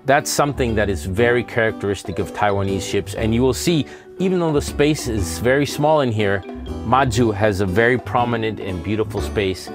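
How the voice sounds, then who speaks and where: A man's voice: on the low side, normal speed. Enthusiastic male travel host. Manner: enthusiastic, explains to camera. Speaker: show host visiting fishermen. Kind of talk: travel show presenting, English and Mandarin. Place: fishing boat and indoor seafood market. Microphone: lapel. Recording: with background music.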